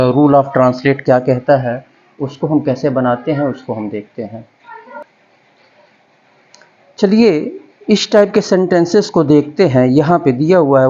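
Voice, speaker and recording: Male tutor, mellow low-key voice, drawn-out dictation pace, muffled home recording. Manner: repeats and spells out each word slowly while writing. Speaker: English grammar teacher. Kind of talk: Hindi-medium grammar lesson, slow dictation. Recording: dull lo-fi recording.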